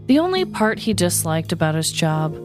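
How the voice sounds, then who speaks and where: A woman's voice: on the low side, big pitch swings, moderate pace. Woman podcaster telling stories over music. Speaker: podcast host. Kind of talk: casual chat and playful scripted true-crime storytelling. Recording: music bed behind the voice.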